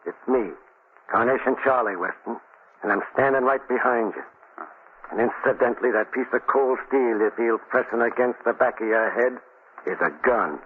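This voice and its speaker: An actor playing a gloating, vengeful gangster who talks in sneering, slangy tough talk, in 1940s radio crime style. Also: male voice, medium pitch, normal speed, close to an everyday voice. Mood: cold menace. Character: gloating, vengeful male gangster back from the dead. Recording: muffled, scratchy 1940 radio recording.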